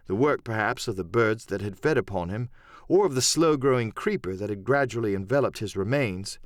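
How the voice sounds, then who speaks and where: A male audiobook narrator delivers literary storytelling with a very animated, varied melody. His pitch is medium and the recording is close and dry. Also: no room sound.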